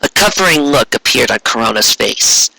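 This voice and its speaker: Very bright, raspy male story narrator. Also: medium pitch, expressive, moderate pace, dry recording, poor audio. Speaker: narrator reading fan fiction.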